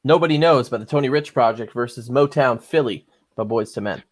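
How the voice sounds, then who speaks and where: A man hosting a casual podcast chat, relaxed and full of fillers as he reads out facts. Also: mid-range voice, brisk, noisy background. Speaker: podcast host.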